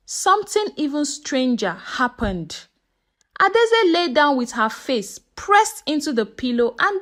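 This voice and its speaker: A woman's voice: high voice, fairly bright tone. A woman narrating a scripted folktale in a dramatic, suspenseful manner. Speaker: storyteller.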